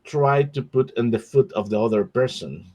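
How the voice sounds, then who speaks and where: A man's voice: medium pitch, normal speed, dark tone. A man whose first language is Spanish, speaking as a panelist in an online discussion, often restarting and searching for words.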